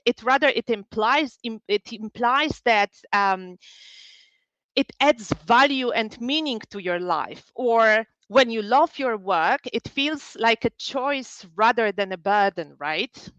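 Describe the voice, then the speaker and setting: Woman, 40s, trainer telling a story in a workshop, kinda high voice, dry sound. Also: takes it slowly, no room sound, highs cut off. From Poland. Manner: animated personal storytelling. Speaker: learning and development trainer, presenter. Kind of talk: online workshop talk.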